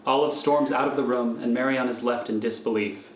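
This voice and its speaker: A man narrating scripted stage directions for a radio thriller in a measured, matter-of-fact manner. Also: medium pitch, fairly even intonation. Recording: echoey room, faint noise.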